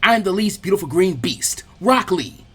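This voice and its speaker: A storyteller voicing an earnest, over-excitable teenage boy martial artist who speaks in formal, emphatic declarations. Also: mid-range voice, moderate pace, husky. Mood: proud, exuberant.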